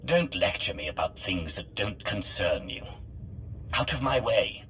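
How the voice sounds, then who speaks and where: A man's voice: fairly low pitch, animated, measured pace, a bit bright, a little hiss or hum. An actor playing a curt, imperious male Sith sorcerer in a video game, terse and haughty. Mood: stern, dismissive.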